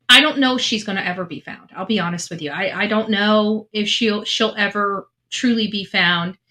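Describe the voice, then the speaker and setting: A woman's voice: mid-range voice, sharp tone. A woman giving casual true crime commentary, earnest and emphatic, often restarting her sentences.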